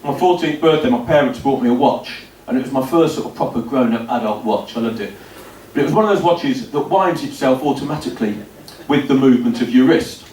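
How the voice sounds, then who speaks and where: A man's voice: mid-range voice, brisk, darkish tone, slight background noise. A man doing a stand-up comedy set in a club, telling dry, self-deprecating stories with punchy asides. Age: 50s. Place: comedy club stage before a laughing audience. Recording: roomy live venue recording from a distance.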